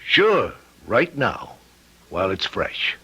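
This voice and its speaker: An actor playing a brisk, commanding male police sergeant in a radio drama. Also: fairly low pitch, huge pitch swings, very noisy, full character voice. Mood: brisk concern. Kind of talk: old-time radio drama acting. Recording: old radio drama recording.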